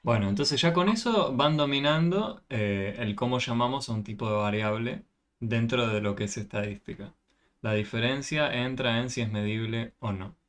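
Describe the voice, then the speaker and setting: A man's voice: medium pitch, normal speed. A man tutoring a math class over a video call, patiently explaining and often checking with questions.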